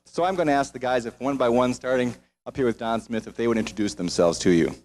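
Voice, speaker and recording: A man's voice: medium pitch, fast, a little mellow. A man from the United States speaking informally from the stage as he introduces a group, with a self-deprecating manner. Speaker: choir director introducing the singers.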